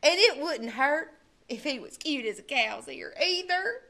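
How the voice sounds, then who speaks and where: A woman's voice: sits high, animated, a little slow, creaky, bright, forceful tone, heavily acted. A woman doing a bold rich ranch gal. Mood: determined.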